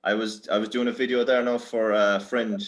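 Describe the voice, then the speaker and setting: A man's voice: lowish voice, little pitch movement, fairly quick. An Irish man chatting informally and slangily on an online group call. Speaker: workshop speaker. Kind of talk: casual, informal chat.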